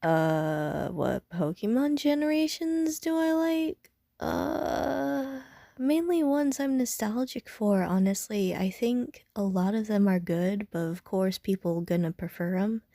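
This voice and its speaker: A woman's voice: medium pitch, takes it slowly, creaky, warm tone, no room sound. A woman playing a casual, slightly prickly young gamer in an audio roleplay, talking hesitantly and with dry self-mockery to the listener. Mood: relaxed, chatty.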